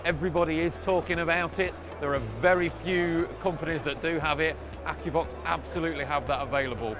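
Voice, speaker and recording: A man with a slightly raised pitch presents in a conversational promotional interview. He explains each point and often turns it into a question for his guest. Music plays clearly behind his voice. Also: fairly even intonation, fast. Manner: explanatory, prompts with tag questions. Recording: background music under the voice.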